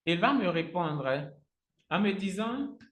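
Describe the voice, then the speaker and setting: A man's voice: sits high, very animated, slow, fairly bright tone. Echoey male tutor, native Agni speaker. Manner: instructional, step by step, repeats phrases. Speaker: online trainer. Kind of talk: live software tutorial with screen share. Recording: roomy, echoing sound.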